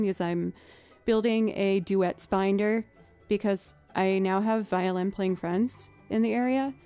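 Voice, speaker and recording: A young woman chats loosely on her livestream, musing out loud with plenty of ums and likes. Her naturally low voice has a soft, warm tone. Faint music sits underneath. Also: clear, resonant tone. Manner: chatty, thinking aloud, with fillers. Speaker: streamer and musician. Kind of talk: casual livestream chat.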